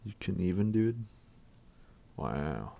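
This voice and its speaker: A man's voice: low voice, big pitch swings, takes it slowly, creaky, mellow, highs cut off. Teasing man on thin call audio. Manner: teasing, laughing, calls people bro and dude. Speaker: guest on a stream call. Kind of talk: casual chat.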